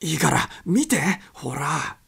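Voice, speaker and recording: A frantic airline passenger, acted by a male narrator, cries out in fright. His voice jumps high and loud, urgent and full of panic, in a storybook reading. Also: very animated, slow, vocal fry, breathy edge, full character voice. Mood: panicked, urgent.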